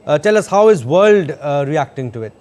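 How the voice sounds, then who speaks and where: A man's voice: a little high, animated, normal speed, darkish tone. A man with an Indian accent working as a news anchor, putting questions to a correspondent on air. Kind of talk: broadcast interviewing.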